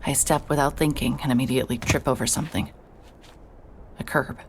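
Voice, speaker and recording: A woman's voice: rumbling low, steady tone, a little fast, slight background noise. An actress narrating in first person as a sarcastic, guarded woman investigator who swears when she is upset. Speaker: narrator and lead character.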